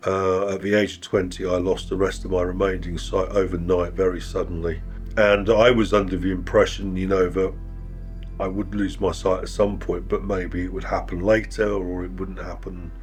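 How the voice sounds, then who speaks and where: A man in his fifties speaking reflectively and wryly in a documentary interview, pausing as he recounts his experiences. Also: sits low, little pitch movement, soft background music. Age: 50s. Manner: reflective, wry, measured with pauses. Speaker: interviewee, musician and sound engineer.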